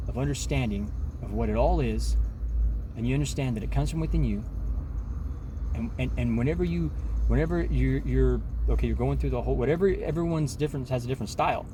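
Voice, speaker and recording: A man's voice: medium pitch, brisk, long pauses, noisy background. A man in his forties talking in a rambling, emphatic self-help monologue, full of restarts and repeated words. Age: 40s.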